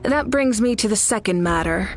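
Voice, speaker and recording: Game war leader played by a woman: medium register, steady authority, composed and formal, soft music underneath. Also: mid-range voice, moderate pace, natural voice. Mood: resolute. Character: resolute, composed female war leader of her nation. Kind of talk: video game character acting.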